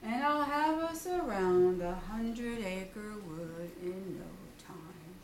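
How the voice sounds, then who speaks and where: A woman voicing a gloomy, long-suffering male donkey from a storybook, with slow, drawn-out, glum delivery. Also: mid-range voice, lively intonation, pitched below the performer's own voice. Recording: roomy, distant mic, faint background noise.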